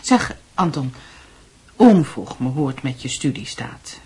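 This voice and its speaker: An actress playing a caring middle-aged aunt in a radio drama, hesitant and gently admonishing, with a Netherlands Dutch accent. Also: female voice, rumbling low, very animated, measured pace, slightly rough, heavily acted. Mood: concerned, admonishing.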